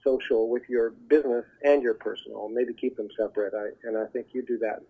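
A man speaking as a talent agent guest, giving advice in an earnest, halting, conversational way over the telephone. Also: mid-range voice, fairly even intonation, breathy edge. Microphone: telephone call. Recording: muffled narrow phone line.

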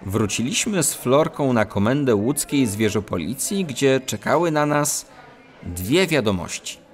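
A man acts out an eager cat detective from a children's audio drama. He talks in a slow, measured pace with very lively pitch, over faint music. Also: close to an everyday voice. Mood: storytelling. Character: eager, quick-witted male cat police detective, narrator. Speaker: lead character and narrator.

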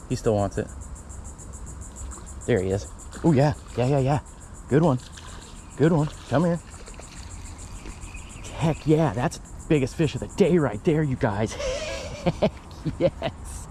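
A man vlogging while fishing outdoors by a canal, talking casually and breaking into excited exclamations. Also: medium pitch, a little hiss or hum. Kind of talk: casual fishing vlog narration.

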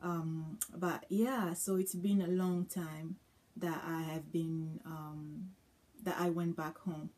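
A woman's voice: low-pitched, little pitch movement, slow pace, darkish tone, a little hiss or hum. A young woman from Congo, chatting casually to camera with many ums and uhs. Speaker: vlogger. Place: small indoor room with floral curtain.

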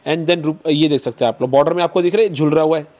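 A man in his thirties hosting a vlog, pitching clothes to viewers in quick, persuasive patter, recorded on a lapel mic in an indoor shop. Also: darkish tone. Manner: fast, persuasive salesman patter addressing viewers. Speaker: vlogger host. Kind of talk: promotional market vlog.

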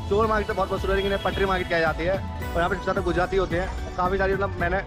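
A man's voice: high voice, flat delivery, very fast. A young man vlogging casually as he walks through a street market, talking to the camera held at arm's length and saying "matlab" often. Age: young adult. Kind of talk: walking market tour vlog. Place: crowded outdoor street market. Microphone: action camera held at arm's length. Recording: loud crowd and music behind. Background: loud crowd and music.

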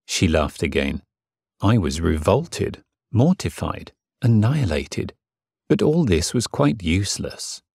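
An actor playing a lovesick, submissive gentleman in an audiobook drama, earnest and measured, with a husky voice. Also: deep voice, very animated, no echo, studio quality, full character voice. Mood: reflective. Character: Severin, lovesick, submissive gentleman narrating his passion. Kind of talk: dramatised first-person audiobook narration.

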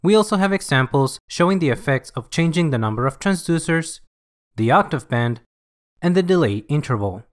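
Man narrating a tutorial, lively intonation. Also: on the high side, normal speed. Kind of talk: scripted software tutorial narration.

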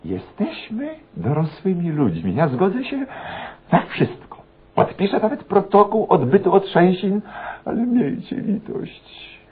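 A man's voice: high-pitched, big pitch swings, unhurried, breathy edge, heavily acted. An actor playing a pompous, cowardly male newspaper columnist in a radio comedy, stammering and pleading as he talks. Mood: anxious pleading. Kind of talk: satirical radio comedy acting. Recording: muffled, phone-like old radio recording.

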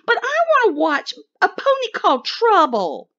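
Woman putting on a squeaky, whiny little squirrel sister. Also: huge pitch swings, normal speed, fairly bright tone, highs cut off, exaggerated voice. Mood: whining. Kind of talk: picture book read-aloud.

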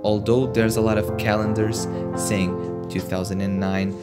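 A young man, narrating an urban-exploration vlog in an earnest, plain way, with a few halting restarts. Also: lowish voice, flat delivery. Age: young adult. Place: indoors, in a decaying abandoned house. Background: loud guitar music.

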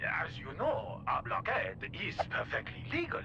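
A man voicing an oily, nervous alien trade official with a creaky voice in an animated video game. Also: high-pitched, moderate pace, very noisy, exaggerated voice. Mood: smug, nervous. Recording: dull audio, loud effects.

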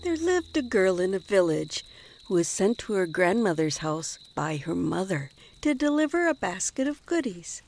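A woman's voice: mid-range voice, big pitch swings, moderate pace, darkish tone. A woman narrator reading a fairy tale as scripted storybook narration.

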